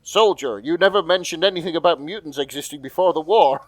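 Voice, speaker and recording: Higher-voiced British man, close and dry sound. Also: fast, no room sound. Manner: jokey, punning, sweary. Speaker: podcast co-host. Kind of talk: casual comic banter with read-aloud bits.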